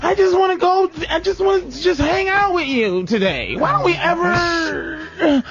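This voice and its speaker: High whiny girlfriend voice done by a man, pouty, drawn-out pleading, radio comedy skit, muffled recording. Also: lively intonation, gravelly, fairly bright tone, heavily acted. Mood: whiny, pouting. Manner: drawn-out, pleading, childish wheedling. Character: whiny, pouting girlfriend begging and bargaining with her boyfriend.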